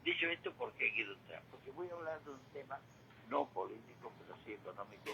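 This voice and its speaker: An older man giving a reflective radio monologue, halting and repeating words, with rhetorical questions. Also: mid-range voice, big pitch swings. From Spain. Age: 60s or older. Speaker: retired regular contributor giving commentary. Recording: clearly noisy radio feed.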